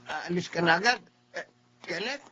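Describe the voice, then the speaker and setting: High-voiced, caring small Filipino father. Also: normal speed, bright, forceful tone, breathy edge, exaggerated voice. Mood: caring, anxious. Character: small-statured father with dwarfism, caring and plucky. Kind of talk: Filipino radio drama acting. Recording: dull, band-limited radio drama sound.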